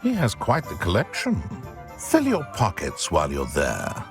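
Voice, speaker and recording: An actor playing a scheming, smug wizard shopkeeper in a video game, speaking in a sly, pompous, theatrical way. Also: male voice, deep voice, huge pitch swings, unhurried, over music, exaggerated voice. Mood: sly, persuasive. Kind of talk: video game character acting.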